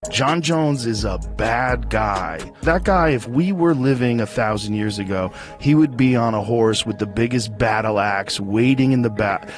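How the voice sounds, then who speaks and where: A man's voice: mid-range voice, a little slow, few pauses, loud music behind the voice. A man in a podcast conversation, speaking emphatically and painting vivid pictures as he talks.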